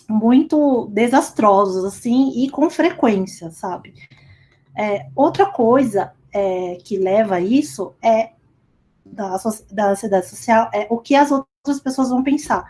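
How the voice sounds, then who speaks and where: Chatty young woman with a higher voice. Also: dark tone. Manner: chatty, lots of fillers like "sabe" and "tipo". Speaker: speaker at a company talk. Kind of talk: casual personal talk. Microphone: remote call recording.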